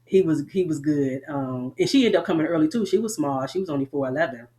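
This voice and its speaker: A woman's voice: deep voice, expressive, quick pace, warm tone. A middle-aged woman with a Southern US accent, an army veteran talking candidly and at length in an interview, often laughing. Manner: rambling, frank, laughs often.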